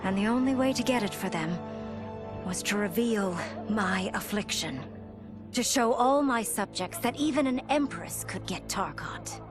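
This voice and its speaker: Woman acting a slow, regal game empress. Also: mid-range voice, lively intonation, over music, lightly acted. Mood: regal, resolute. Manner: regal, measured, formal. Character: proud, resolute, compassionate empress recounting her reign. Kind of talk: scripted video game ending narration.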